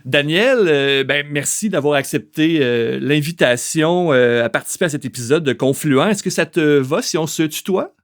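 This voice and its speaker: Polite podcast host, hesitant. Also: male voice, a little high, normal speed, hardly any pauses. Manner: polite, hesitant, frequent fillers. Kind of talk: interview hosting.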